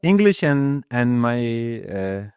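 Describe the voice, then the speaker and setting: A man's voice: medium pitch, very animated, takes it slowly, a little mellow, clean tone, no echo, studio quality. A man in his thirties whose first language is Persian, answering interview questions in a casual, earnest way and often restarting his phrases. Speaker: guest, IT lead.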